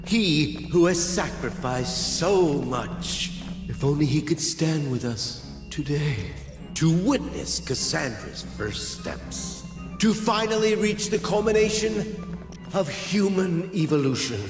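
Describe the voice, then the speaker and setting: An actor playing a taunting, reverent android cultist in a video game, swinging from mocking to solemn. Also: male voice, fairly high pitch, full character voice. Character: taunting, reverent male android cultist devoted to his Maker. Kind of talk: video game cutscene acting. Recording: echoey hall reverb under loud music.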